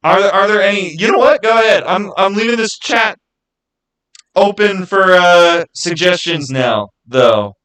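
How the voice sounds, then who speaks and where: Halting man chatting on a livestream. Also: high voice, animated, fairly bright tone. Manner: halting, repeats words and phrases. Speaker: livestream host. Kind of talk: casual livestream chat with viewers.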